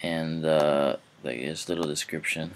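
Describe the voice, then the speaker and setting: A young man with a deep, low voice talks casually over a screen recording. He stops and restarts often, filling the gaps with ums and uhs. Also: fairly even intonation, a little hiss or hum. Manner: halting, many fillers and restarts. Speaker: language hobbyist vlogger.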